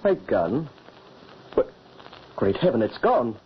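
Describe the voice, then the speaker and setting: Middle-aged peasant father, gruff, melodramatic radio horror, muffled old recording, clear noise. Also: medium pitch, big pitch swings, takes it slowly. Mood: alarmed. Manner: melodramatic, exclamatory. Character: gruff, grieving peasant father, vengeful hunter.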